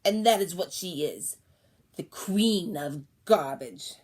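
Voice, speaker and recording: A woman voicing a fierce, withered old woman who loudly scorns a queen in an audiobook. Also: lowish voice, lively intonation. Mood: scornful. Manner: loud, scornful, drawn-out accusing. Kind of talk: audiobook character voice.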